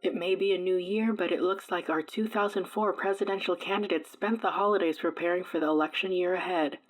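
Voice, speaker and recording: A woman's voice: fairly low pitch, fairly even intonation, a little fast, soft background music, lightly acted, low-quality recording. A woman playing a television news anchor in a drama, reading scripted news in a formal, composed way.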